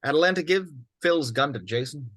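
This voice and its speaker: A man's voice: fairly high pitch, lively intonation, fairly quick, fairly bright tone, dry recording. A man playing a smug, commanding villain who goads and taunts in a screenplay read. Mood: cold, goading. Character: smug, commanding male villain goading others to kill. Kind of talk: dramatic screenplay table read.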